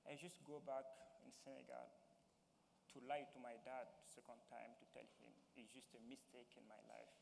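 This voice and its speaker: A young man from Mali with a Malian accent, giving halting personal testimony as a town hall panelist and searching for words in English. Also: mid-range voice, level tone, vocal fry, darkish tone. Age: young adult. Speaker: youth panelist. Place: large hall. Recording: reverberant hall with faint noise.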